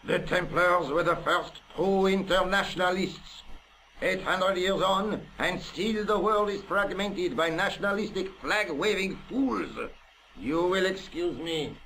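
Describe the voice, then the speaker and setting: An actor playing a cultured, proud and touchy French police inspector in a video game, formal and haughty, with a French accent. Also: male voice, high voice, measured pace, pushed and bright, slight background noise, heavily acted, poor audio. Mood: offended, impassioned.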